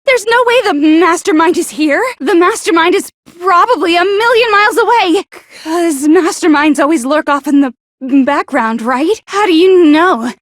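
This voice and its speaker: An actress playing a paranoid, lovestruck teenage schoolgirl with a sharp tongue in an anime video game, stammering and accusatory in her dramatic delivery. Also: very high-pitched, lively intonation, normal speed, no echo, clean studio sound. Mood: snide, argumentative.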